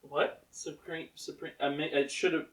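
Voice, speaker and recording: A man's voice: a little high, flat delivery, moderate pace, roomy sound, recorded from a distance, low-quality recording. A man chatting casually as a co-author, interjecting with explanations and questions about his own story. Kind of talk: casual chat.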